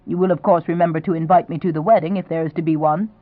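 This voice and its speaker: A woman doing a brisk, bossy old grandmother. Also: lowish voice, steady tone, lightly acted. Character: elderly grandmother, brisk and domineering. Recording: tinny, phone-like sound.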